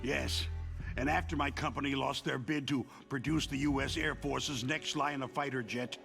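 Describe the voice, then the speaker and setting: An actor playing a weary, wounded, middle-aged arms company executive in a dramatic video game, explaining himself in a halting, deliberate, defensive way. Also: male voice, medium pitch, steady tone, creaky, fairly bright tone, soft background music. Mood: self-justifying.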